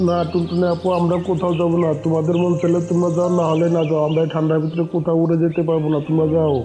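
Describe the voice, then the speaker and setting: A man's voice: fairly high pitch, completely flat delivery, very fast, dark tone, faint music behind, natural voice. A man voicing a stubborn male bird in a cartoon story, dismissive and mocking toward his neighbours. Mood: stubborn refusal.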